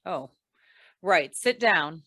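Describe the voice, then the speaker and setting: A woman's voice: on the low side, lively intonation, very slow, pushed and bright, no echo, heavily acted. Woman acting a dry, wry noir lady detective. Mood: cool, probing. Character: wry, hard-boiled female insurance investigator in a crime noir. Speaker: actor in an old-time radio play. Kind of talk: 1940s radio noir narration and dialogue.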